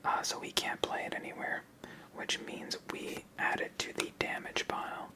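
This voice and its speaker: An ASMR host whispering a methodical, step-by-step walkthrough of a card game. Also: normal speed. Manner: methodical, explains moves step by step.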